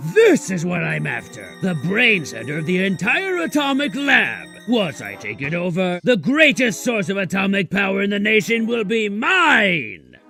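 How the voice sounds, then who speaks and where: A man playing a megalomaniac supervillain in an amateur comic dub, boastful, menacing and given to drawn-out shouts. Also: high voice, creaky, full character voice. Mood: triumphant. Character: megalomaniac mad-scientist supervillain with mechanical arms.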